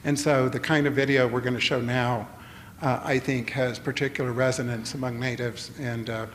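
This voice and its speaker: An older man gives a public lecture at an even, middling pitch, often stopping and restarting his thoughts. His voice carries a long hall reverb, with a faint hiss of noise underneath. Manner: halting, many fillers and restarts.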